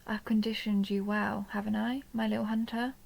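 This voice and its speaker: A woman playing a seductive, possessive vampire countess in an intimate audio roleplay, speaking slowly and teasingly, often dropping toward a breathy whisper. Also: medium pitch, flat delivery, a little mellow. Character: seductive possessive vampire countess toying with her human captive. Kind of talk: intimate dark romance audio roleplay, ASMR-like.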